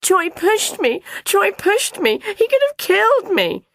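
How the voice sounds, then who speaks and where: Woman acting a wailing high-pitched young boy. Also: sing-song, slow, a bit bright, slight background noise, exaggerated voice. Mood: wailing, distressed. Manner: piteous wailing. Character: shrieking, distraught young boy wailing accusations.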